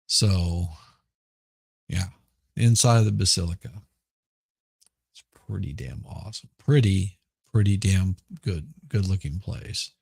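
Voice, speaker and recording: Man, hoarse, gravelly, husky, deep, slow, rambling casual chat, dry close sound. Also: no room sound. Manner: rambling, repeats words and restarts. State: hoarse from smoke. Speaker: podcast host.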